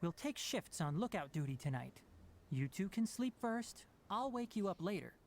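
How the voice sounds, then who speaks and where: An actor playing a calm, scholarly young forest ranger with fox ears, measured and lecturing in a game. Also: male voice, high-pitched, slight background noise. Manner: measured, lecturing, gently dry. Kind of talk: game voice acting.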